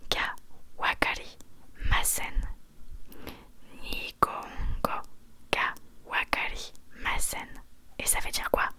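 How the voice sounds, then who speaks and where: Lady doing asmr teacher roleplay, all whispered, bright sharp sound, soft and patient. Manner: soft, patient, encouraging, repeats phrases slowly. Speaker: ASMR creator playing a Japanese teacher.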